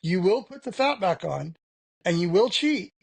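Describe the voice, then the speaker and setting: Gravelly man, frank and rambling. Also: high voice, animated, normal speed, no echo. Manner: rambling, frank, many "you know" fillers. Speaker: podcast guest. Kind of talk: candid conversational interview.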